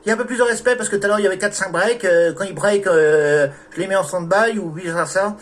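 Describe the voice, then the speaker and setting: A man's voice: sits high, fast talker, slight background noise. A man from France chatting casually as an amateur radio operator, with courteous sign-offs. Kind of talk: casual radio contact chat.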